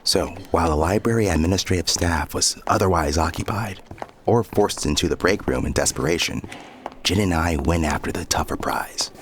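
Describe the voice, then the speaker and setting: Man playing a deep-voiced, excitable young American. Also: moderate pace, noisy background, close to an everyday voice. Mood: cocky storytelling. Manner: slangy, enthusiastic. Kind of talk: audio drama narration and dialogue.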